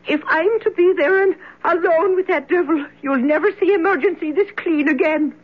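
A woman's voice: extremely high pitch, normal speed. An actress playing a feisty, pious Irish hospital cleaning woman in an old radio drama, tart and dramatic. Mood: tart, wary. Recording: dull old radio broadcast recording.

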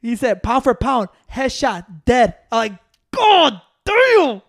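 A man chatting as a podcast co-host, telling stories in a relaxed, profane way with Spanish words mixed in. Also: extremely high pitch, takes it slowly, dry recording, clean studio sound. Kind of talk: casual chat.

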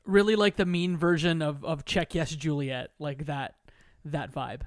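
A woman's voice: low-pitched, fairly even intonation, measured pace, no echo, studio quality. A woman guest on a podcast, talking in a casual, chatty way. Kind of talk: casual chat.

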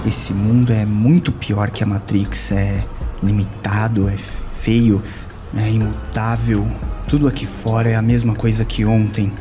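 A man's voice: lowish voice, flat delivery, measured pace, natural voice. A man acting in an audio drama as a jaded, slangy hacker loner in a cyberpunk city. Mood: brooding, longing. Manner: brooding, slangy. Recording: music behind the voice.

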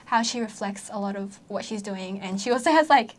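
A woman's voice: mid-range voice, a little fast. A young adult woman hosting a podcast in casual chat, talkative and giggly, with many "like" fillers. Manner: chatty, giggly, lots of "like" fillers. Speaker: podcast host.

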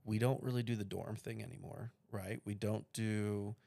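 Male co-host, 30s, gravelly, bone-dry close mic, relaxed rambling chat. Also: on the low side, normal speed, dry recording. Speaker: podcast co-host. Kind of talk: casual chat.

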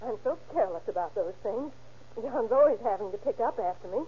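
An actress playing an anxious, loyal wife in an old radio drama. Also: female voice, fairly high pitch, animated, brisk, warm tone, slightly rough. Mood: feigned carelessness. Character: anxious, loyal wife in on her husband's scheme. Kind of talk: 1940s radio acting. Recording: muffled, hissy old radio recording.

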